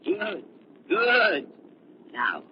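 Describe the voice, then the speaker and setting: An actor playing an embittered, paranoid older scientist who feigns kindness, in theatrical 1930s radio melodrama style. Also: male voice, high voice, wildly swooping pitch, very slow, exaggerated voice. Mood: sly, eager. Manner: theatrical, volatile, swinging from soothing to ranting. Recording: muffled, lightly noisy 1930s radio recording.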